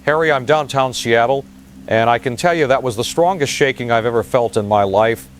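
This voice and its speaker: A man's voice: mid-range voice, a little fast, faint music behind, lightly acted. A man playing a shaken field reporter giving a hesitant, vivid eyewitness disaster dispatch in an audio drama.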